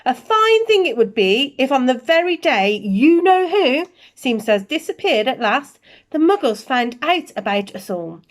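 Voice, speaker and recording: Woman reading as stern older lady professor from a storybook, really high, emotional, all worked up. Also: big pitch swings, a little slow. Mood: anxious, astonished. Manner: exclaiming, faltering, emotional delivery.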